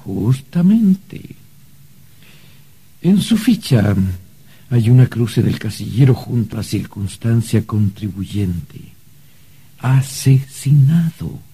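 A fussy heavenly bureaucrat in a radio comedy, really swoopy intonation, slow and condescending. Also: male voice, medium pitch, big pitch swings, mellow, slightly rough, exaggerated voice. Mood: firm, methodical. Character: fussy, prudish male afterlife office clerk, calmly officious. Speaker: bureaucratic adviser. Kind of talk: comedic radio drama acting.

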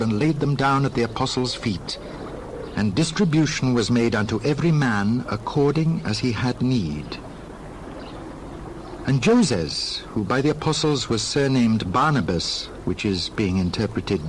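Male scripture narrator, expressive storytelling, lively swinging intonation, slight background noise. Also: mid-range voice, poor audio. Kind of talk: scripted, dramatized Bible narration.

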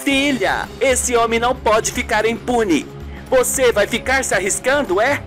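A woman's voice: on the high side, measured pace, fairly bright tone, faint music behind. A woman voicing a protective widowed mother, worried and outraged, in a radio soap. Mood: alarmed.

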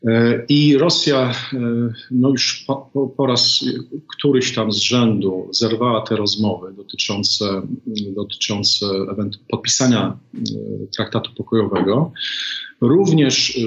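Deliberate middle-aged man on a video call. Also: on the low side, a bit bright. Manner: deliberate, many hesitations. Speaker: guest, former ambassador. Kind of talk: measured political analysis. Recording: echoey, dull video call audio.

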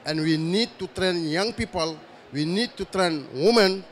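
A man from Senegal addresses a conference panel from the audience. His English is simple and halting. He speaks very slowly, in a somewhat high voice, into a handheld mic in a large pavilion. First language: French. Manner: slow, searching for words in simple English. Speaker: audience member asking a question. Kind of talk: halting spontaneous remarks.

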